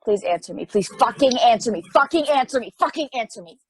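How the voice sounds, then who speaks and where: A woman's voice: a little high, animated, fast talker. A woman playing a lonely, frightened space probe in a play table read, hesitant and pleading. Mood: desperate.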